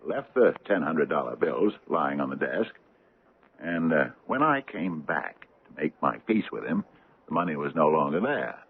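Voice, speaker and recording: An actor playing a grave, guarded older father in a 1970s radio drama, measured and fatherly with slight hesitations. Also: extremely low pitch, big pitch swings, husky, exaggerated voice. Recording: dull lo-fi old radio broadcast.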